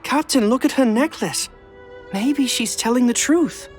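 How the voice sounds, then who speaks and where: A man's voice: extremely high pitch, a little slow, soft background music. A man voicing a deferential rank-and-file soldier in a video game. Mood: eager, candid. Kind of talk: scripted video game voice acting.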